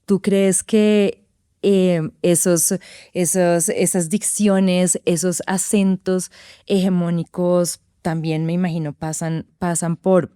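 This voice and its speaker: A woman's voice: on the low side, flat delivery, slow, warm tone, smooth, dry recording, studio quality. A woman, hosting and asking careful, hesitant questions in an interview. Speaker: interviewer, podcast host.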